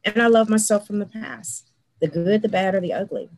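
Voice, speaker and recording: A woman's voice: mid-range voice, a little fast, darkish tone, rough recording. A woman co-hosting a podcast, speaking in a heartfelt, earnest way. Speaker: podcast co-host. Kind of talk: casual chat.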